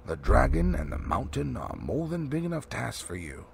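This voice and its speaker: Storybook wizard, read by a man: old male, authoritative, testy, grim, wide swings in pitch, slight background noise. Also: huge pitch swings, moderate pace, gravelly, exaggerated voice. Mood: scornful. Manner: emphatic, grim.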